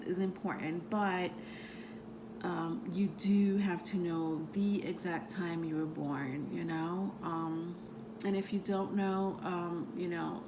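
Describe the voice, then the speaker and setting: A woman with a soothing voice, who grew up outside the United States, talking about astrology to her viewers in a meandering way full of ums and soft laughs. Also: fairly low pitch, noisy background. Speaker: tarot reader and astrology vlogger. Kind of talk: casual rambling talk to camera.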